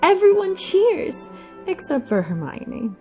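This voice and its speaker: A woman's voice: very high-pitched, very animated, takes it slowly, a little mellow. A woman giving dramatic scripted narration for an audio play, with music behind her.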